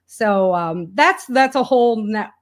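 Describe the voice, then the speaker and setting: A woman's voice: mid-range voice, lively intonation, unhurried. A woman speaking as an enthusiastic, chatty interview guest, in a casual conversational style. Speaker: interview guest, indie author and podcaster.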